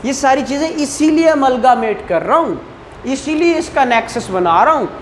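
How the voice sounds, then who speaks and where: High-pitched Pakistani woman lecturer, noisy recording. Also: animated, moderate pace. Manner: repeatedly checks understanding with short tag questions. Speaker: law lecturer. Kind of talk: explanatory classroom lecture mixing Urdu and English legal terms. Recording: clearly noisy room recording.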